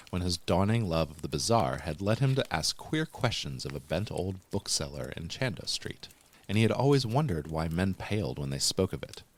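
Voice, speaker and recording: Very deep-voiced man narrating. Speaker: host and narrator of a horror literature podcast. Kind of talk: scripted narration, audiobook-style reading of a horror story.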